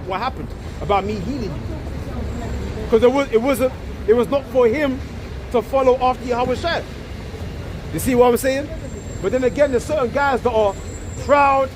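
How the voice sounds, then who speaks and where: A man preaching outdoors on a street, repeating himself and calling listeners "man". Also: very high-pitched. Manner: repetitive, addresses listeners as "man", asks "you see what I'm saying". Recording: outdoor street recording with traffic noise. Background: traffic.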